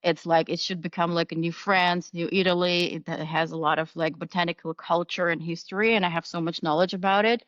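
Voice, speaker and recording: A fairly low-pitched woman talks as a podcast guest, full of fillers, restarts and eager warmth for her subject. The sound is dry and a little dull, as if the mic had lost some of its top end. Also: fairly even intonation, brisk, hardly any pauses, dry recording. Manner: hesitant, many fillers and restarts, enthusiastic. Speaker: skincare brand founder, guest. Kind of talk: casual interview chat.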